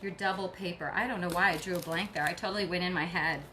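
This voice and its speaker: A woman's voice: on the low side, steady tone, quick pace, sharp tone, a little hiss or hum. A middle-aged woman hosting a craft tutorial, chatty and encouraging as she works, sounding tired. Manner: chatty and encouraging, talks while working. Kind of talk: casual craft demonstration.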